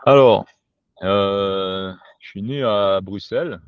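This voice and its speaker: A man's voice: lowish voice, slow pace, smooth, dry recording. A man from Quebec talking spontaneously and informally as a podcast guest, with slangy, sweary phrasing, on a lo-fi home recording. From Quebec, Canada. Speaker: podcast guest, survival instructor. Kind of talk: spontaneous casual conversation.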